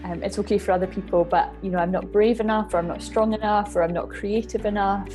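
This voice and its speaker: A woman's voice: medium pitch, fairly even intonation, fast, dark tone, faint music behind. A woman from Scotland talking in a documentary interview as a business coach. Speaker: business mentor and personal coach.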